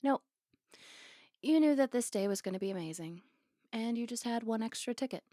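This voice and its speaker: A woman's voice: fairly high pitch, lively intonation, normal speed, dark tone, no room sound, studio quality, lightly acted. A woman playing a flirty, playful, affectionate barista on a date in an intimate, teasing ASMR roleplay. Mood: warm, sincere.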